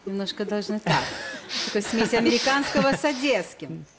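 A woman's voice: mid-range voice, lively intonation, moderate pace, noisy background. Ironic, bantering middle-aged woman host. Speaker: TV dating show host. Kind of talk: teasing talk-show hosting. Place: large television studio.

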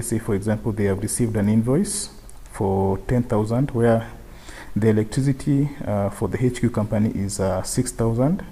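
A man with a low, mellow voice and a husky edge walks through a software tutorial. He explains each step in turn in an unscripted way, often circling back over the same phrases. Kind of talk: unscripted screen-share tutorial.

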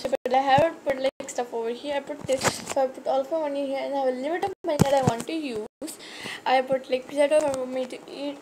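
A young woman with a high voice chats through her game with a sore throat, full of fillers and restarts. The recording is noisy, with a steady hiss behind her voice. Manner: rambling with many fillers and restarts. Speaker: gaming channel host. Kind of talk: casual gameplay commentary. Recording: noisy screen-recorded mic audio.

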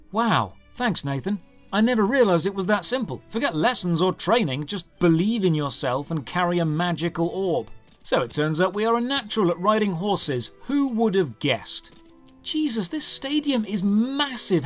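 A man's voice: high-pitched, a bit bright. A man narrating a scripted game review as its host, with a sarcastic, mock-exasperated manner.

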